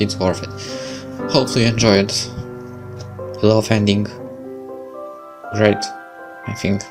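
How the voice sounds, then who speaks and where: A man's voice: sits low, gravelly. A man from Poland with a Polish accent, Polish as his first language, reading a visual novel aloud and chatting loosely as a let's play host. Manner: unhurried, loose, slightly broken phrasing.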